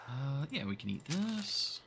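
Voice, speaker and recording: A man's voice: medium pitch, vocal fry, noisy background. A man livestreaming a survival game with casual commentary, thinking aloud and chatting to viewers. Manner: thinks aloud, talks to chat.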